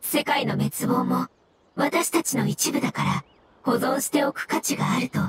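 A woman's voice: high-pitched, moderate pace, heavy background noise, close to an everyday voice. A woman playing a small, polite floating mushroom spirit in an anime game, speaking in a formal, cryptic way. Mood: solemn. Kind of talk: Japanese anime game voice acting. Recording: loud music under the voice.

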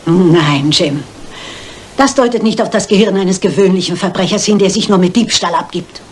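An actress playing a shrewd, bossy elderly lady detective who reasons aloud briskly and with authority in a German crime drama. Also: lowish voice, a little hiss or hum, natural voice. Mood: deliberate, insistent.

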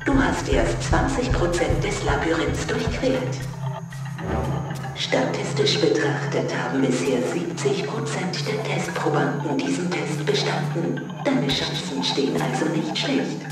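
Actor doing a polite pedantic ai helper in an audio drama, super wordy, roomy echo, music behind. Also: mid-range voice. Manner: formal, wordy, officious, unflappable. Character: polite, relentlessly helpful, pedantic test-facility computer guide. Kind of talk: scripted audio drama acting. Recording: echoey, distant, music underneath.

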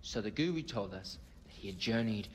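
A man's voice: lowish voice, lively intonation, a little fast, in a large hall, a little hiss or hum, close to an everyday voice, rough recording. A man playing a meandering, mock-grand British stoner storyteller in a video game. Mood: hazy storytelling. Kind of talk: video game cutscene acting.

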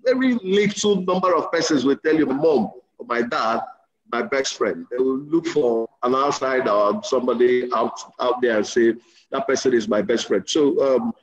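Earnest man on a dull video-call line. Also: mid-range voice. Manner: thoughtful, earnest, slow with pauses. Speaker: panelist. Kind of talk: reflective discussion.

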